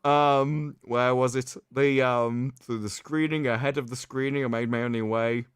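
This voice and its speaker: A man hosting a livestream, reading aloud in a mocking, theatrical way with sarcastic asides and drawn-out emphasis. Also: medium pitch, fairly even intonation, clear, resonant tone, no room sound, clean studio sound. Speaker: livestream host. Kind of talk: mocking dramatic reading of an article with commentary.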